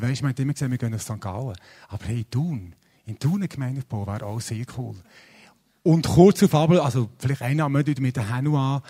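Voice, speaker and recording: A man in his forties with a Swiss German accent, preaching a sermon in a casual, lively, self-deprecating way with many fillers. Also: medium pitch. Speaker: pastor. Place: church service.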